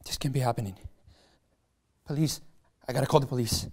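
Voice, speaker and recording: An actor reading a panicked young man in a table reading, stammering and shouting in alarm. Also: mid-range voice, fairly quick, slightly rough. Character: panicked young man who found a body.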